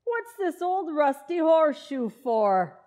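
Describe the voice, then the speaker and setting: A woman plays a teasing, long-suffering wife in an old-time radio comedy. The voice is high and takes its time, with a wry, gently scolding manner. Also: big pitch swings, slow pace, exaggerated voice. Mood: chiding, wry.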